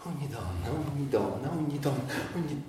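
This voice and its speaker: A man's voice: medium pitch, lively intonation, normal speed, warm tone. A man performing a theatrical literary monologue for radio, brooding, in broken phrases with dramatic swings. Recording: very echoey room, distant, faint noise.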